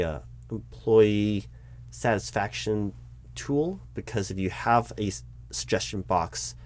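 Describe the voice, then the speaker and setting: A man with an American accent and a fairly low voice plays a corporate recruiting manager in a one-sided roleplay. He speaks aloud in a conversational, improvised way. His delivery is hesitant and officious, often stopping and restarting as he explains procedure. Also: darkish tone. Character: flustered bureaucratic male corporate recruiting manager addressing his disguised boss.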